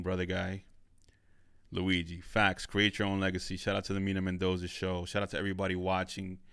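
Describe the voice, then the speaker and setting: A man's voice: on the low side, fairly bright tone. A young man hosting a solo podcast, talking emphatically and repeating his points for emphasis. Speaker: podcast host and studio owner. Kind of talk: motivational solo podcast monologue.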